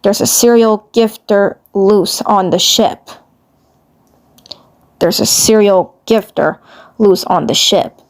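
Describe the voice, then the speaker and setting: A woman's voice: mid-range voice, slow, pauses often. A woman voicing a worried, grave cartoon commander in a fan fiction story, hesitant and serious.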